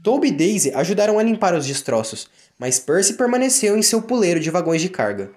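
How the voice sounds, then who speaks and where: A man's voice: high voice, sing-song, brisk, faint music behind. A man with a Brazilian accent narrating a scripted children's story.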